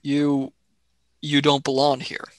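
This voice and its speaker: A woman's voice: a bass voice, monotone, slow, dry recording. Woman playing a blunt, suspicious young companion, dry sound. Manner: direct, questioning. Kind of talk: radio play acting.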